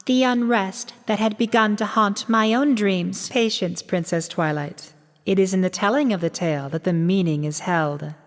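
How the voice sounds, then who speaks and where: A woman playing a wise, patient princess telling history in a fan-made radio drama, stately and measured. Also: mid-range voice, natural voice. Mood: solemn, patient. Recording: long hall reverb.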